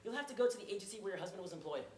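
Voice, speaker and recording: A stage performer playing an exasperated, stiffly formal male bank manager who often shouts. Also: medium pitch, animated, racing, slight background noise, lightly acted. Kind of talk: stage comedy acting. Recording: echoey room.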